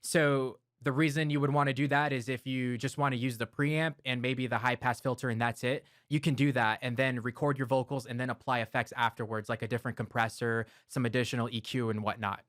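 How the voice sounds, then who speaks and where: A man of medium pitch walks through studio gear in a relaxed, step-by-step way, with the odd filler word. He talks close into a broadcast mic in a home studio, and the sound is dry with no room echo. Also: a little fast, hardly any pauses. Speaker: audio gear reviewer. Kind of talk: explanatory gear walkthrough. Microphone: close broadcast dynamic mic.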